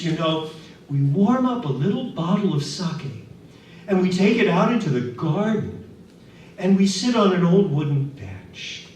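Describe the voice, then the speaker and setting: A man voicing a kindly, cheerful old gentleman who speaks warmly and encouragingly. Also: high-pitched, a little hiss or hum, voice pushed up, full character voice. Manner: warm, beaming, gently encouraging. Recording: echoey room, voice from far off.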